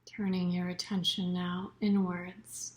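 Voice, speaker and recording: Woman softly guiding a relaxation meditation. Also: lowish voice, level tone. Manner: soothing, unhurried, with soft breathy phrase endings. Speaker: yoga nidra meditation teacher.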